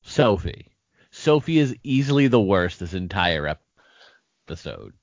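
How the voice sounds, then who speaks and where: A man co-hosting a podcast in casual chat, joking, animated, often restarting his sentences. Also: low-pitched, a little slow, dry recording. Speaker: podcast co-host. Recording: dry, dull lo-fi sound.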